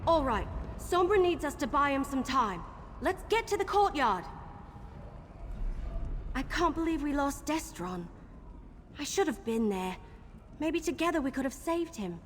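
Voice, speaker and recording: High, lively young archer heroine. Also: female voice, unhurried, pauses often, slight background noise. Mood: doubtful, brisk, then regretful. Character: spirited, plucky young woman archer adventurer. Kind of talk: fantasy video game dialogue. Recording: reverberant, faint background ambience.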